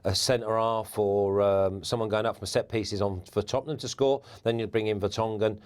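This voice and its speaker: A man, a former footballer, giving relaxed football punditry as a guest, laughing easily and restarting words. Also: on the low side, moderate pace. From England.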